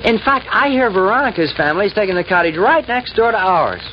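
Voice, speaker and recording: A man's voice: high-pitched, big pitch swings, a little fast. A man playing an earnest, breezy teenage boy in a 1940s radio comedy. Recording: dull, noisy 1940s radio recording.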